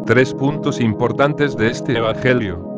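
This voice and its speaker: A man giving a scripted devotional reflection on a gospel passage in a steady, even reading voice. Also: medium pitch, unhurried, loud music behind the voice.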